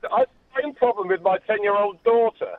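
A man's voice: sits high, lively intonation, brisk. A man calling in to a radio phone-in, casually teasing the host. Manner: cheeky, teasing. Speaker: caller. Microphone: telephone. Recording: tinny phone line.

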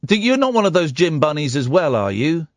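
A man in his forties hosts a late-night phone-in from a studio mic, his voice sitting a little high and swinging widely in pitch. He jokes and runs himself down, rambling cheerfully. The sound is close and dry. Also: big pitch swings, brisk, no room sound. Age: 40s. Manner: playful, self-deprecating and rambling. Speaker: radio phone-in host. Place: radio studio. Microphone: studio microphone.

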